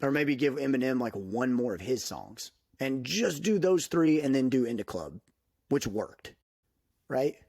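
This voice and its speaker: A man's voice: mid-range voice, lively intonation, a little slow, a little mellow, no room sound, clean studio sound. A young man hosting a casual podcast chat, rambling with lots of fillers and restarts. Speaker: podcast host.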